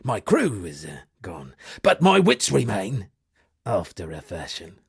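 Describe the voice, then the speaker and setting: An actor playing an eccentric shipwrecked sea captain and pompous amateur poet, speaking florid, dramatic game dialogue in a gravelly, husky voice. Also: male voice, lowish voice, wildly swooping pitch, unhurried, no room sound, clean studio sound, heavily acted. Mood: theatrical, rueful. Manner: florid, dramatic, alliterative declaiming. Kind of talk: theatrical video game character dialogue.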